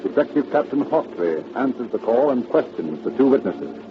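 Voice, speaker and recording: An actor narrating an old-time radio crime drama in a measured, formal, scripted style. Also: male voice, mid-range voice, sing-song, highs cut off, background noise, natural voice. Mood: measured, factual.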